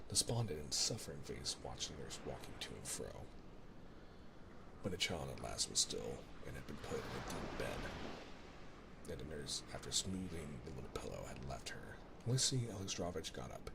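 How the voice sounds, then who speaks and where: A man reading a bedtime story in soft-spoken ASMR narration, occasionally stumbling over the text. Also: very deep voice, very animated, normal speed, vocal fry. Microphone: binaural ASMR microphone. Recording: ocean ambience noise behind voice. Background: ocean sounds.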